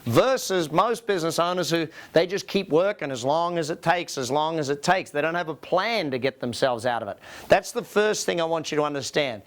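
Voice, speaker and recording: A man's voice: a little high, expressive, brisk. A man with an Australian accent speaking as a business coach to an audience in an emphatic, motivational seminar style, putting rhetorical questions to listeners. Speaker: business coach and company founder, presenting.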